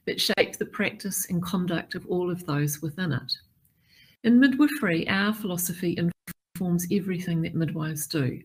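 Middle-aged woman, keynote speaker, husky low voice, deliberate and measured. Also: rough recording. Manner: measured, deliberate delivery with listed points. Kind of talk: formal prepared keynote address.

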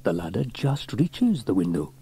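A man's voice: lowish voice, very animated, moderate pace, warm tone, breathy edge, heavily acted. A man voicing a nervous firefighter who fears heights in a children's storybook, anxious and groaning. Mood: putting on bravery. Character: nervous, gulping male firefighter afraid of heights.

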